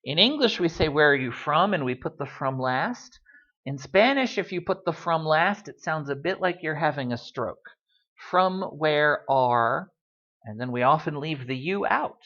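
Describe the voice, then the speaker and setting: A man's voice: a little high, expressive, a little slow, bright, forceful tone, muffled recording. A man giving a casual Spanish lesson, full of wry asides and ums. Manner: chatty, wry asides, many ums and uhs. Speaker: Spanish teacher.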